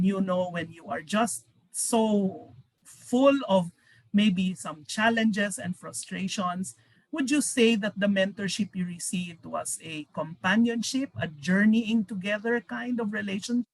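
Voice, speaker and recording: Woman moderating a panel, mid-pitched, dry close mic, curious follow-up questions. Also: dry recording, low-quality recording.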